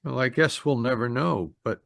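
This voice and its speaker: A grieving young man, played by an actor, speaks with sad resignation in old radio drama style. His pitch is average and the sound is close and dry. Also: moderate pace, creaky, a bit bright, dry recording. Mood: sad, resigned.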